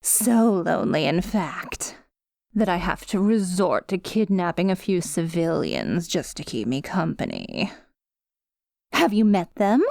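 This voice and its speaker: A woman's voice: fairly low pitch, big pitch swings, measured pace, gravelly, a little mellow, no room sound, studio quality, full character voice. A woman playing a needy, taunting female supervillain obsessed with a hero, in a theatrical, coy ASMR roleplay monologue. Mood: mock-lonely, coy. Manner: theatrical, coy, swooping between pouty and menacing.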